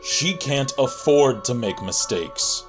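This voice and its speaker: A man playing a stern, stubborn warrior mentor in an audio drama, gruff and clipped in manner. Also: mid-range voice, lively intonation, takes it slowly, over music. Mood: stern, worried. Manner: gruff, clipped commands, scolding.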